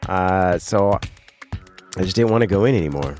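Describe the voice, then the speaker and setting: A middle-aged man hosting a solo podcast, sounding hoarse and congested as he talks in a rambling, casual way. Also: deep voice, animated, normal speed, darkish tone, faint music behind. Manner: rambling, conversational, self-correcting. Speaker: podcast host.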